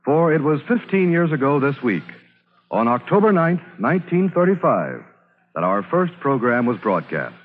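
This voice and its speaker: A man's voice: mid-range voice, big pitch swings, normal speed. A man announcing in a polished, ceremonious 1950s radio style. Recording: muffled old radio, faint music.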